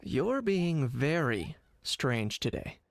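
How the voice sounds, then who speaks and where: A man voicing a terse young mercenary hunter in a video game who talks in a deadpan way. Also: on the high side, slow, gravelly, exaggerated voice. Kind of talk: video game cutscene voice acting.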